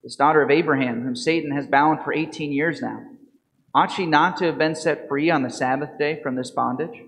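A man in his thirties, a priest, reads the gospel and gives a calm, measured homily full of questions to the congregation. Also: a little high. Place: church sanctuary at a lectern. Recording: reverberant church from a lectern mic.

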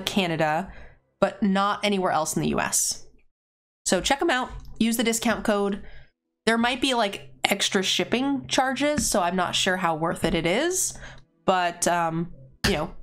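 A woman live-streaming a card game, a little raspy as she is losing her voice, chatting casually and answering viewers' questions between plays. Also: medium pitch, fairly bright tone.

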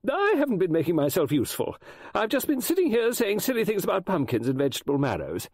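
A man's voice: high voice, huge pitch swings, moderate pace, a little mellow, husky, no echo, clean studio sound, pitched above the performer's own voice, full character voice. A man voicing a flustered, apologetic middle-aged woman novelist in a storybook, hesitant and given to musing and exclaiming. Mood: apologetic, eager.

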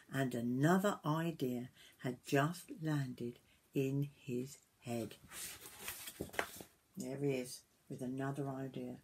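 A woman in her sixties reading a picture book aloud to young children like a grandmother, expressively, with drawn-out words and little asides to the listener. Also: rumbling low, dark tone, breathy edge. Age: 60s. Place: small wooden summerhouse.

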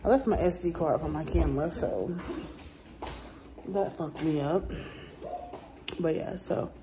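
A woman's voice: sits low, unhurried. A woman in her thirties chatting casually to her viewers from a parked car, calling them y'all. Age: 30s. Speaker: vlogger. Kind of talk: casual chat to camera. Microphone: phone or camera on dashboard. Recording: noisy car interior.

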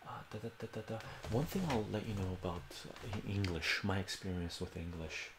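A man's voice: low-pitched, fairly quick, reverberant, background noise. An older man whose first language is Armenian, hosting a casual livestream from a home room, rambling and correcting himself as he answers viewers' questions. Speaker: livestream host.

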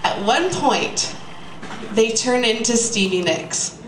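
Young woman, comic storyteller, sardonic, emphatic, unhurried, distant mic in an echoey room, audience behind. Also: a little high, big pitch swings, heavy background noise. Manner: sardonic, emphatic, casual with swearing. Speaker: comedic storyteller at a live reading. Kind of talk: stand-up style comic monologue. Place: small live venue, bistro. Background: audience crowd.

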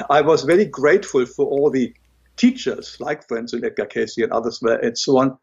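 A man's voice: mid-range voice, normal speed, breathy edge, lo-fi sound. An older man from Germany with a German accent, speaking as an interview guest, hesitant with many fillers and restarts. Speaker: guest, illustrator and writer. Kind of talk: interview conversation.

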